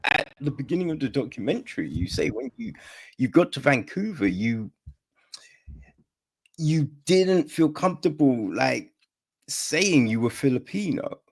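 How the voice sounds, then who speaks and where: A man hosts a podcast interview, asking curious, casual questions. His voice is gravelly with a lot of fry, and he speaks slowly, loosely stringing phrases together with "like" and "you know". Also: medium pitch, sing-song.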